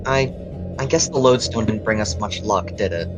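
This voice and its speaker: Guy doing a young dreamy elf stargazer, very expressive swoopy delivery, earnest, fantasy dub w loud music. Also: mid-range voice, normal speed, close to an everyday voice. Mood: dejected. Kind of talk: dramatic comic dub acting.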